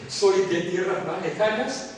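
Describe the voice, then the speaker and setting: A man's voice: high-pitched, expressive, slow pace. An older man from the Canary Islands, speaking Spanish with a Canarian accent blended with Latin American habits, gives a reflective, rambling university lecture. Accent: Canarian Spanish with Latin American mixing. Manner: reflective, discursive, frequent fillers and asides. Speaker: economics professor giving a lecture. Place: large lecture hall. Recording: distant echoey hall with noise.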